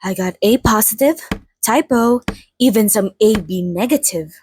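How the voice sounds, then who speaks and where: A woman voicing a playful, theatrical century-old female vampire in a chatty, mock-formal ASMR roleplay monologue, with a soft, breathy voice. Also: mid-range voice, moderate pace, slight background noise, lightly acted. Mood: gracious, chatty. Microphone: close studio microphone, dry.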